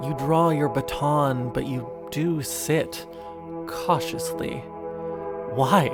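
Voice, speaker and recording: A man narrating a second-person horror story in a hushed, deliberate, literary way. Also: a little high, fairly even intonation, loud music behind the voice.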